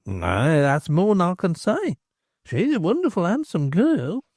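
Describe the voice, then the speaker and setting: A man doing a sly, flattering innkeeper. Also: high voice, unhurried, no echo, studio quality, pitched above the performer's own voice, heavily acted. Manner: smooth-talking, teasing.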